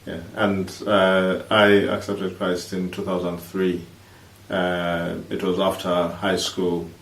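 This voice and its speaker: A man, co-hosting a vlog in halting, casual chat. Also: low voice, level tone, fairly bright tone. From East Africa, born in Kenya, Ugandan. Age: 30s. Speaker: vlog host. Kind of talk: casual couple chat to camera. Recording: roomy, distant mic, faint noise.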